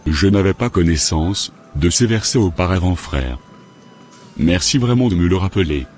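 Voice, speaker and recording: Low-pitched straying husband, acted by a man. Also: animated, moderate pace, darkish tone, slight background noise. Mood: repentant. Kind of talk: scripted cartoon dialogue.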